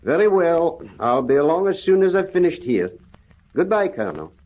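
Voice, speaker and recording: An actor playing a kindly, earnest Scottish country doctor in an old radio drama, with homely, exclamatory turns of phrase. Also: male voice, on the high side, big pitch swings, moderate pace. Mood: genial, folksy. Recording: muffled old radio recording.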